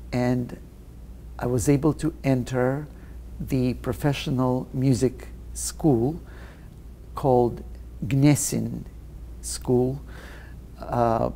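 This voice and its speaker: Male guest, slow and measured, gravelly and dark, hesitant. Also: medium pitch, slight background noise. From Russia. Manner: slow, thoughtful, many hesitations. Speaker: guest pianist. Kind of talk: interview answers.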